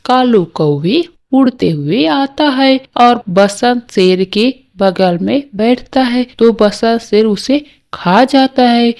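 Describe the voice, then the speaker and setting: A woman's voice: on the high side, lively intonation, slow pace, few pauses, a little mellow. A woman giving scripted narration for a children's animal story.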